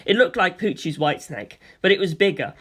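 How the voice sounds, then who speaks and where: An audiobook narrator with a mid-pitched voice that moves up and down in a lively way. The delivery is expressive and theatrical, telling a story in the first person. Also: fairly quick.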